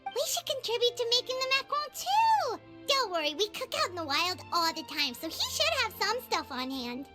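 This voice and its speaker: Squeaky, very high little fairy companion. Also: female voice, big pitch swings, fairly quick. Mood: cheerful. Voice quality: squeaky childlike voice. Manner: bubbly, refers to self in third person. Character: small childlike fairy companion, cheerful, eager, food-loving. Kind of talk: video game voice acting. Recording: music under the voice.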